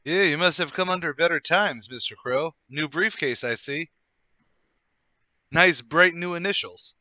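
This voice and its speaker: Man playing a bright-voiced chummy old acquaintance. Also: a little high, unhurried, no echo. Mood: probing, sympathetic. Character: smooth, chummy male old acquaintance, probing and falsely friendly. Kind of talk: radio play table read.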